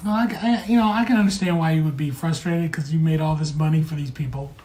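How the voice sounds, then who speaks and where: A woman's voice: deep voice, fast talker, mellow. A woman interviewing casually on a podcast, agreeing and paraphrasing as the conversation goes.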